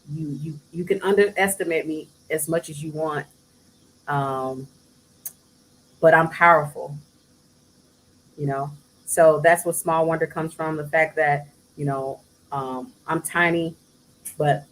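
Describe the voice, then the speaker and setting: A woman with a low voice chats easily as an interview guest, soft-spoken and unhurried. A faint hiss sits behind her. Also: low-quality recording. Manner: soft-spoken, breaks into laughter and high mimicry. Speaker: singer guest. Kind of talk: casual interview chat.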